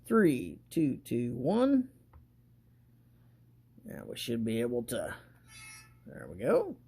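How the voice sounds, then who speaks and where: A man casually presenting a hands-on hobby demonstration, talking haltingly and thinking aloud as he works. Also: medium pitch, wildly swooping pitch, vocal fry, dark tone, slightly rough.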